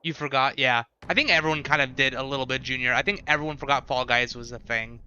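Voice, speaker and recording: A man's voice: medium pitch, fairly even intonation, fast, sharp tone, clear, resonant tone, highs cut off. A man live streaming casual reactions and commentary, rambling as he thinks aloud, with music behind him. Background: game showcase trailer audio and music.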